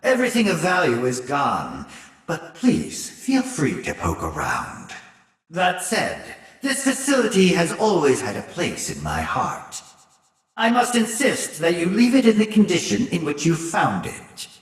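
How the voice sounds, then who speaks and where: An older mad scientist villain from a video game, gleefully condescending and sinister. His rather high voice swoops extravagantly through mock-courteous, drawn-out phrases. It rings in a roomy, echoing space. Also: wildly swooping pitch. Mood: mock-polite menace. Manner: mocking, theatrically polite, drawn-out phrasing. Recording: roomy echo, distant from mic.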